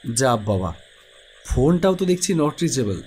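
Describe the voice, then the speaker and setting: A man's voice: mid-range voice, big pitch swings, unhurried, darkish tone, a little hiss or hum. A man voicing an anxious young man in a horror cartoon, with colloquial, grumbling speech. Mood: grumbling, puzzled. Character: anxious young man searching for missing friend. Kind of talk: Bengali cartoon horror story voice acting.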